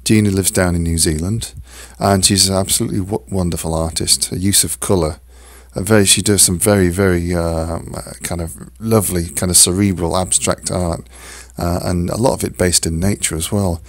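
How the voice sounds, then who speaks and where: A man with a hoarse, croaky voice hosting a solo podcast, talking haltingly with many fillers and restarts. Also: sits low, steady tone, dry recording. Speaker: podcast host. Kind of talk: casual solo podcast talk.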